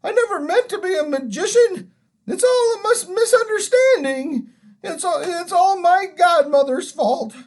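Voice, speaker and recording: A wailing cowardly old gentleman. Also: lively intonation, measured pace. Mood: panicked wailing. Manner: high, tearful, trembling scream.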